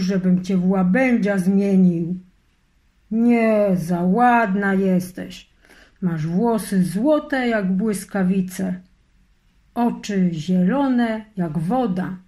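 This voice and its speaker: A woman voicing a cruel, hateful male sorcerer in a storybook reading, loud and slow. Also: mid-range voice.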